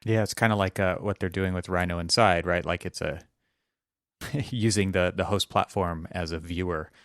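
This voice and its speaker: A man's voice: low voice, steady tone, moderate pace, dry recording, studio quality. A man hosting a podcast, asking curious, rambling questions and agreeing often. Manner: curious, asks long questions, many backchannels. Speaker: podcast host. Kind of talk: casual interview chat.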